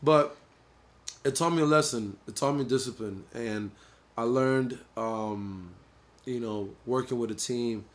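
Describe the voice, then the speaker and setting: A man with a Honduran family background, telling his story in a laid-back, low-key casual chat. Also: a little slow. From Honduran family, grew up in the US. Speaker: DJ and producer, guest.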